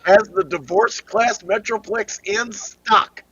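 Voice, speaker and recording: A man's voice: sits high, slow pace, fairly bright tone, husky, dull audio. A man on a fan podcast, talking casually and repeating his points with emphasis. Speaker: podcast co-host. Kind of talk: casual chat.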